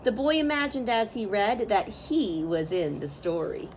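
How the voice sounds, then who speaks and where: A woman reading a picture book aloud as a storyteller, with expressive, drawn-out phrasing for young listeners. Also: mid-range voice, slight background noise, poor audio. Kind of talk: expressive children's read-aloud narration.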